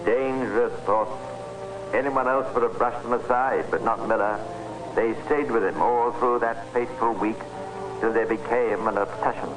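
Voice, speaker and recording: An actor playing the grave, measured narrator of a crime tale in an old-time radio drama. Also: male voice, medium pitch, fairly even intonation, over music, close to an everyday voice, poor audio. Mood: grave, ominous. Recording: hissy vintage radio recording.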